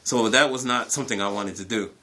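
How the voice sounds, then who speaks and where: A man casually telling a personal story to viewers, animated and acting out bits of dialogue, often repeating phrases for emphasis. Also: on the low side, quick pace. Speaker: YouTube storyteller.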